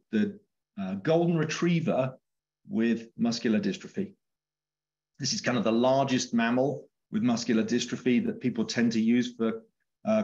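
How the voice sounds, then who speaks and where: A man's voice: medium pitch, darkish tone. Man explaining over dull call audio. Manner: explanatory, with fillers and restarts. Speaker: chief scientific officer. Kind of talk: webinar presentation. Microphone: webcam on a video call.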